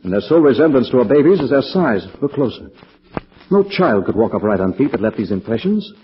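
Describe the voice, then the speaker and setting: An actor playing a composed, urbane, analytical gentleman sleuth in a 1940s-style radio mystery. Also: mid-range voice, big pitch swings, a little fast, natural voice. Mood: intent. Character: calm, inquisitive gentleman sleuth investigating eerie mystery. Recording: dull old radio recording.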